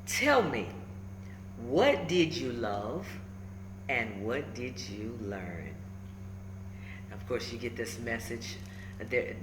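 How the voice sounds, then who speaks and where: A woman reading a children's book aloud, emphatic and instructive, pausing between short phrases and adding encouraging asides. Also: a bass voice. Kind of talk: animated storybook read-aloud with encouraging asides. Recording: echoey room with faint background noise.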